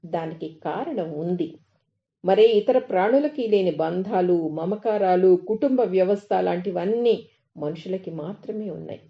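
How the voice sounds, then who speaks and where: A woman reads a reflective devotional essay as a narrator, in a measured way, phrase by phrase. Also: lowish voice. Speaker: narrator reading articles aloud. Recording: dull, lo-fi recording.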